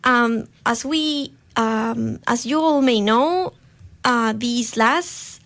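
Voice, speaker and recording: A woman's voice: a little high, extremely slow, fairly bright tone. A young woman from Rica, hosting a live radio chat in a studio, upbeat but hesitant with many fillers. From Costa Rica. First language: Spanish. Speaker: radio host. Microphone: studio microphone.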